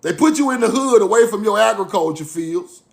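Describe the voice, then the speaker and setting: A man's voice: high voice, expressive, a little fast, creaky. A man giving a fiery informal lecture, blunt, repetitive and profane.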